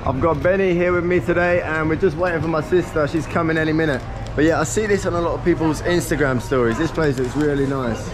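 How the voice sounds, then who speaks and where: A man's voice: on the high side, fairly even intonation, quick pace, noisy background. A young English man vlogging in a busy restaurant, chatting casually to a handheld camera and rating the food as he tries it. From England. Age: young adult. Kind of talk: casual vlog narration.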